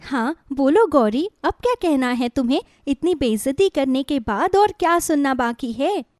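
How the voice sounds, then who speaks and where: A woman voicing a gentle, wronged young sister-in-law in a moral storybook, plaintive, earnest and reproachful. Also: sits high, expressive, moderate pace, no echo, clean studio sound. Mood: hurt, protesting. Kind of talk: dramatized Hindi moral storybook.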